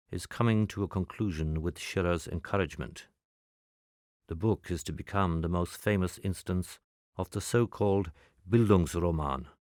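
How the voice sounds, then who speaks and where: A man with a very low voice delivers scripted narration in the manner of a spy film. His delivery is measured and matter-of-fact, with no emotional colouring. The sound is dry, with almost no room around it. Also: smooth, dry recording.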